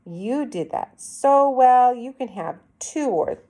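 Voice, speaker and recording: A woman's voice: on the high side, lively intonation, slow pace, mellow, voice pushed up, heavily acted. A woman voicing a coaxing young lady in a storybook, talking to a child in a sing-song, persuading way.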